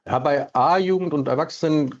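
A man's voice: fairly high pitch, moderate pace. A middle-aged man from northern Germany chatting casually as a caller over the telephone, hesitant and thoughtful. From northern Germany, near Hanover. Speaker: caller, amateur football referee. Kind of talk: casual chat. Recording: muffled band-limited telephone line.

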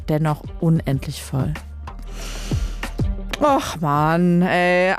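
A woman's voice: on the low side, a little mellow. A woman from Leipzig, Germany, hosting a radio music show in a casual, chatty, colloquial way. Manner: chatty, colloquial, trailing tag questions. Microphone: close studio radio microphone.

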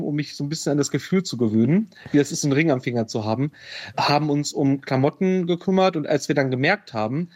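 A man's voice: fairly high pitch, darkish tone. A man telling a personal story as an interview guest, hesitant, with many fillers. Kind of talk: casual storytelling. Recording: dull lo-fi sound.

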